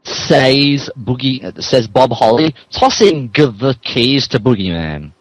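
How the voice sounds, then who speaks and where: Male narrator for comic fan-fiction reading, very expressive, mock-grave with amusement, dry recording. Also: mid-range voice, slow, dry recording, poor audio. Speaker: narrator, podcast host.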